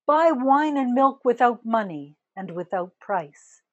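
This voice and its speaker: Older woman minister, husky, measured sermon delivery, dry close sound. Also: fairly high pitch, lively intonation, dry recording, muffled recording. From Canada. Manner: slow, deliberate preaching with emphatic rises on key words.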